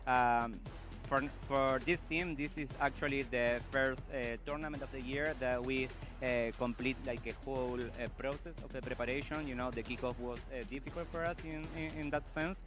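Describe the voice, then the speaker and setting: Male coach, mid-pitched, halting interview answers, music bed behind. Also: fairly even intonation. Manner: halting, many fillers and restarts. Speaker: esports team coach. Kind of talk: post-match interview.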